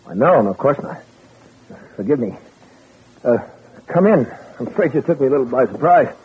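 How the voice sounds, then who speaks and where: A man's voice: mid-range voice, lively intonation, normal speed, slight background noise, natural voice. An actor playing a guilty man who feigns loyal innocence, guarded and placating, in a 1940s radio drama. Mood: startled, guarded. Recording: old radio recording, narrow band.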